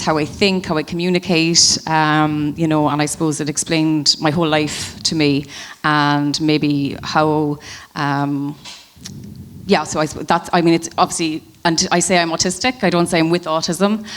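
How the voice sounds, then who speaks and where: Low-voiced woman on a panel. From Ireland. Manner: thoughtful, frequent ums, measured. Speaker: panel guest. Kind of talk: panel discussion.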